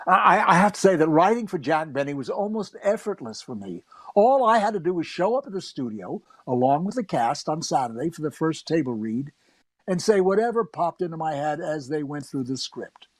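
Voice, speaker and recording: A man's voice: on the high side, animated, brisk, no echo. An actor playing a wry comedy writer, narrating his memories conversationally in a scripted radio play. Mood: wry, reminiscing.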